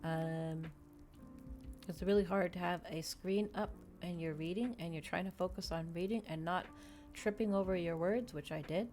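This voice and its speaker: A woman's voice: fairly low pitch, steady tone, normal speed, a little mellow, faint music behind. A woman hosting a livestream, chatting casually with viewers in a rambling way, often repeating and restating her phrases. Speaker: VTuber livestream host.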